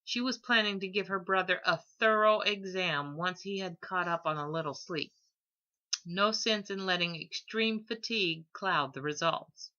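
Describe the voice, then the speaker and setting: A woman reading an audiobook story as expressive scripted narration, on a lo-fi recording. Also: on the low side, moderate pace. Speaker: audiobook reader.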